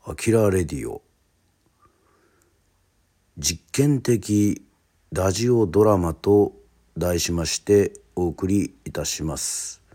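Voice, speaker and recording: A man from Yoron Island in Japan hosting and narrating, introducing a radio drama in a formal, deliberate announcer-like way with pauses. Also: sits low, dark tone.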